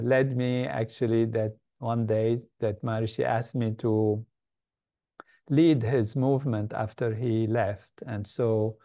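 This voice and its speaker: An older man from Lebanon, a guest in an interview, giving measured, thoughtful answers with frequent pauses and fillers. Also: mid-range voice, mellow. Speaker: guest, meditation organization leader.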